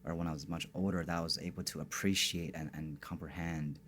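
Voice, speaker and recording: A man's voice: deep voice, fairly even intonation, fairly quick, a bit bright, a little hiss or hum. A man from Northern China, a guest in a podcast studio, talking casually and often searching for words. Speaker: guest, tea bar co-founder. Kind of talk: casual interview chat.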